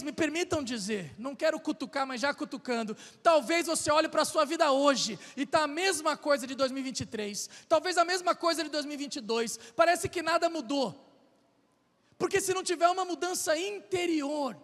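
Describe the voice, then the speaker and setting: High-voiced Brazilian pastor in a reverberant hall. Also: male voice, a bit bright. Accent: Brazilian Portuguese. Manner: conversational, building to emphatic repetition. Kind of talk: sermon. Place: church sanctuary.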